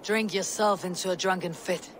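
An actress playing a stern, determined young Viking warrior woman in a video game, with a husky voice. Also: on the low side, steady tone, moderate pace, fairly bright tone, a little hiss or hum, natural voice. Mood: firm, secretive. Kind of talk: video game dialogue acting.

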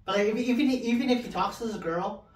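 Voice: mid-range voice, fast. A co-host in a casual group chat who stutters and keeps restarting words, with a mid-range voice. The sound is roomy, with the voice caught from across a small room. It is picked up by a far-off camera mic. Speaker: podcast co-host. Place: small room with colored LED lights, group around a table.

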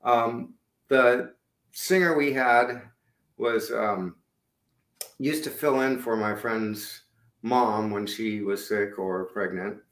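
A middle-aged man with a low voice, chatting loosely about his music over a video call, with many fillers and restarts. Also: steady tone, slow, fairly bright tone. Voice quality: lower-range voice. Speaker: musician guest. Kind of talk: casual interview chat. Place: home room indoors. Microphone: video call webcam. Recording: echoey room on webcam.

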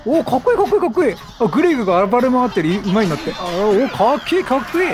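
High-voiced, animated man commentating a game. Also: rapid-fire, music in the background. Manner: chatty, exclamatory, playfully mimics characters. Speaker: gameplay streamer. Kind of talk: video game commentary, reacting excitedly.

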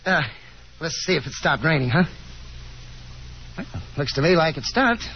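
An actor playing a breezy, confident young gentleman caller who chats in a hearty, coaxing way in a 1950s radio drama. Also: fairly high pitch, very animated, normal speed, slight background noise. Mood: playful, coaxing. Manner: hearty, slangy, encouraging chatter. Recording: dull 1950s radio broadcast, music behind.

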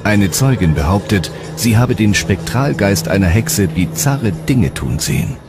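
A man narrates a documentary in a deep voice with lively, varied intonation. His delivery is scripted, and music plays clearly behind him. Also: unhurried.

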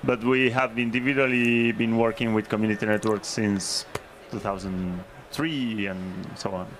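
A man giving a short, measured explanation from off to the side. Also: lowish voice, steady tone, background noise.

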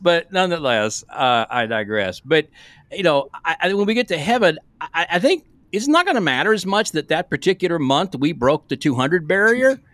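A man's voice: fairly high pitch, very animated, normal speed, a bit bright, no echo. A man in his sixties, a pastor co-hosting a podcast in a casual, rambling, folksy way. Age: 60s. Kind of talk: casual conversational podcast discussion. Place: small room, remote video call. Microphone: close podcast microphone.

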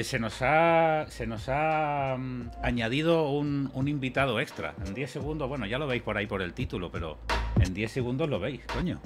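Slangy man hosting a stream. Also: medium pitch. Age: late 30s. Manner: loose, slangy and chummy, with repeated words. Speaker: podcast host. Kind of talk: casual chat. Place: home streaming room.

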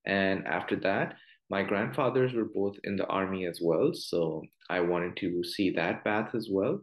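A man's voice: low voice, a little slow. A young man in his twenties with a Pakistani accent, a doctor answering interview questions on a video call, earnest and full of "you know" fillers. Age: 20s. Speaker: guest doctor. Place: small room at home. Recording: echoey room on video call.

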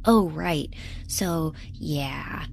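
A woman's voice: low-pitched, extremely slow, heavily acted. Earnest, awkward young researcher woman. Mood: casual. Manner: earnest, literal-minded, hesitant. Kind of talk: audio drama acting, conversational. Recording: clear ambient noise behind.

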